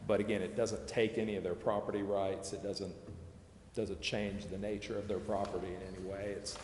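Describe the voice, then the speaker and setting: An American man explaining policy at a public meeting in a measured, halting way. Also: low-pitched, flat delivery. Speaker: advisor explaining city tax district plans. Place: council chambers. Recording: echoey council chamber, background hiss.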